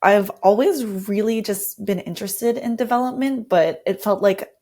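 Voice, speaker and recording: A woman's voice: mid-range voice, normal speed, warm tone. A woman with a mid-range voice talks as a guest in an interview. She explains her working process conversationally, with an enthusiastic manner. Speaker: interview guest, design lead.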